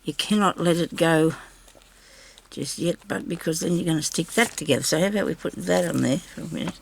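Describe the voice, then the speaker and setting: A woman with a slightly raspy voice, recovering from a sore throat, chatting casually to viewers and to herself as she crafts. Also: fairly low pitch, a little fast, a little hiss or hum. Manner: chatty, talks to herself while working. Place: craft table indoors.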